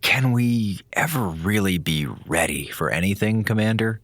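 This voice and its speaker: A man's voice: on the low side, animated, moderate pace, a bit bright, dry recording. A man playing a laid-back, sarcastic communications officer in a space audio drama, with a wry, slangy, theatrical delivery. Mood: flippant. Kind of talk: audio drama acting, log narration. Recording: music under the voice.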